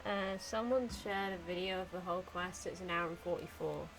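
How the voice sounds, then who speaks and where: A woman's voice: fairly low pitch, fairly quick, noisy background. A young woman streamer chatting casually and giggling while she plays a game, now and then talking to her cat. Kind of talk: casual gameplay commentary.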